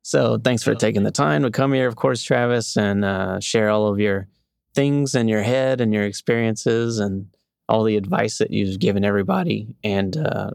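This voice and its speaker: A man's voice: on the low side, moderate pace, vocal fry, a little mellow, clean tone, dry recording, studio quality. A man hosting a podcast, asking questions in a casual interview style. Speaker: podcast host.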